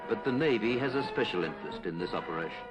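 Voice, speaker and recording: Low-voiced British man narrating a 1950s documentary. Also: lively intonation, fast, low-quality recording. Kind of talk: 1950s British public information film narration, scripted. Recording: 1950s film soundtrack with music.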